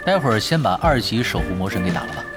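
A man acting in an audio drama as a laid-back, shrewd gamer, nonchalant and smoothly persuasive. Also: fairly low pitch, very animated, fast. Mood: relaxed, matter-of-fact. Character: easygoing, shrewd, laid-back male gamer recruiting helpers for his guild. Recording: studio drama with loud background music.

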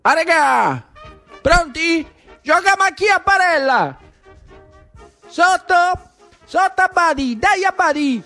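A man voicing a bossy boy bully with a Roman Italian accent, goading another child with repeated shouted orders in one-man radio theatre. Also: very high-pitched, animated, slow pace, long pauses, soft background music, voice pushed up, full character voice. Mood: excited, goading.

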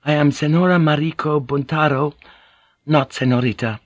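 Poised formal noblewoman interpreter, voiced by a male narrator, precise and polite, lifted higher than his own voice, dry close read. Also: fairly even intonation, normal speed, no echo, lightly acted.

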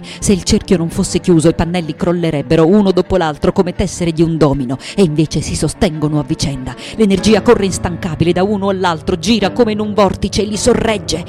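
An actress playing a reflective, anguished and defiant artist in her late nineties in a theatrical, deliberate radio monologue. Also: fairly low pitch, mellow, natural voice. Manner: theatrical, deliberate, dwelling on repeated words. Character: 98-year-old woman artist, intense, reflective, anguished, defiant.

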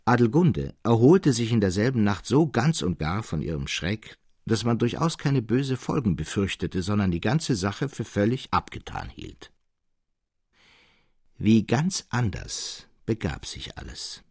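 A man reading a classic literary tale as scripted narration, in an expressive, precise storytelling manner. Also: lowish voice, a little slow, slightly rough, dry recording, studio quality. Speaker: audiobook narrator.

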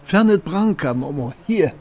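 An actor playing a wise, gentle old shopkeeper in a radio play, speaking in calm, unhurried aphorisms, with a gravelly voice. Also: male voice, on the high side. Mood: kindly inquiring. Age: older.

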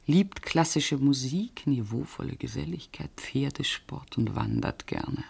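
A middle-aged woman in a radio drama, the sensible confidante. Her voice is deep and husky with a creaky edge. She talks in a wry, matter-of-fact way and likes to tease. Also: expressive, unhurried, darkish tone, heavily acted. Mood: dry, probing. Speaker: radio play actor. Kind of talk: German radio drama dialogue.

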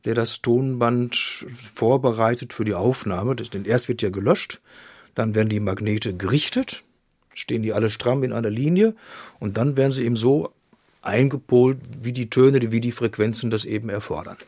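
A man's voice: mid-range voice, normal speed, darkish tone, no room sound, clean studio sound. A man giving informal, hands-on technical explanations as an expert. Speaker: cassette repair expert being interviewed.

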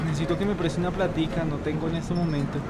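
A man's voice: fairly high pitch, steady tone, fast, natural voice. A man playing a stubborn, lovestruck, broke young man in a radio soap, talking colloquially with a Bogotá accent. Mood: sheepish. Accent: Colombian, Bogotá. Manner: colloquial, insistent. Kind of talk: amateur radio soap opera acting. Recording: clearly noisy, urban ambience.

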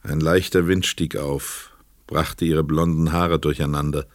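A man reading scripted literary narration for a radio play in a measured, precise storytelling manner. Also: a bass voice, fairly even intonation, no echo, clean studio sound.

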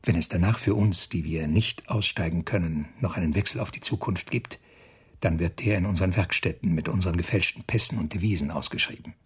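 An actor playing an ambitious, calculating SS officer in a German radio drama, speaking in a precise, bureaucratic and self-satisfied way. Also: male voice, low voice, brisk, husky. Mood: calculating, confiding. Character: ambitious, calculating male Nazi SS officer reporting coolly.

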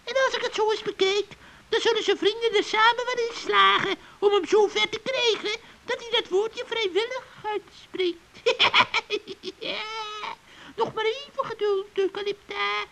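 A sly, gleeful old witch for a children's radio play. The voice is extremely high and gloats in secretive mutters, full of giggly scheming. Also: steady tone, a little slow, creaky, sharp tone, exaggerated voice.

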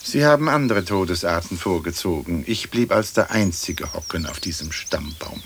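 An actor playing a haughty, verbose old English lord's ghost in a German radio play, with pompous, formal speech. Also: male voice, low voice, animated, moderate pace, fairly bright tone, slight background noise. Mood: pompous, musing.

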